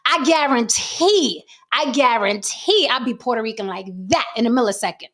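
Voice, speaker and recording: Woman host, blunt, profane, slightly high voice. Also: animated, moderate pace, fairly bright tone, slight background noise. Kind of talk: casual opinion livestream chat.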